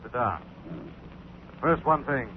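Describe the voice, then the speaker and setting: An American actor playing a mysterious, commanding crime fighter with an imperious, menacing manner in a 1940s radio drama. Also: male voice, mid-range voice, lively intonation, slow pace. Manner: imperious, ominous. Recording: scratchy, tinny 1940s radio broadcast.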